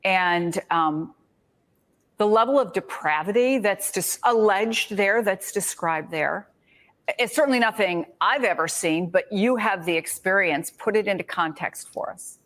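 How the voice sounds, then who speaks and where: A woman's voice: mid-range voice, measured pace, fairly bright tone. A woman news anchor who questions legal guests in a conversational way. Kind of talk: TV news interview.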